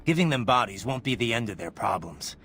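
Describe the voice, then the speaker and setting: A gravelly male cyborg mercenary, grim and brooding, talking in terse, sardonic lines. The voice has a hard, forceful edge, with music behind it in a video game scene. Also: medium pitch, very animated, fairly quick. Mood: sober, dry.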